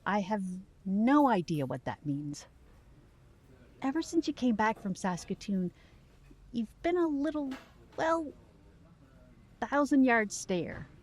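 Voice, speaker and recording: An actress playing a sharp, playful but concerned woman in an audio drama, with a deliberate, pointed delivery. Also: a little high, sing-song, breathy edge, full character voice.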